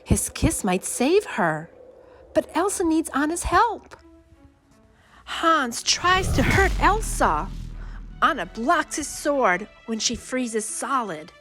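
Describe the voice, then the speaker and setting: A woman reading a simple children's storybook aloud as a storyteller, in short, deliberate, expressive phrases. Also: high-pitched, faint music behind. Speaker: children's read-along storyteller. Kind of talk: simple scripted storybook narration for young children.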